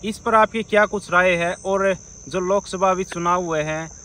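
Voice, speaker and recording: A man presenting an informal news report to viewers in a halting, repetitive way with frequent hesitations. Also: sits high, pushed and bright, slight background noise.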